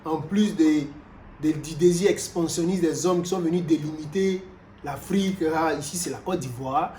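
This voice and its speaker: A man from Cameroon, preaching a sermon in an animated way, moving from loud exclamations to quiet asides and repeating himself often. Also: a little high, normal speed. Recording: roomy echo with faint background noise.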